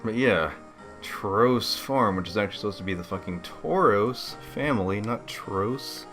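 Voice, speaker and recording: A man's voice: lowish voice, measured pace, fairly bright tone, soft background music. A man giving casual, rambling game commentary as a let's play host, laid-back and slangy.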